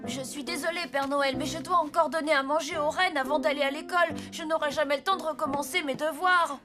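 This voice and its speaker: Overworked little cartoon boy, female actor, high and boyish, earnest and plaintive, heard over prominent music. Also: fairly even intonation, brisk, natural voice. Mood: apologetic, earnest. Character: earnest, overworked young boy, apprentice Father Christmas. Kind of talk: animated cartoon voice acting. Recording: loud music under the voice.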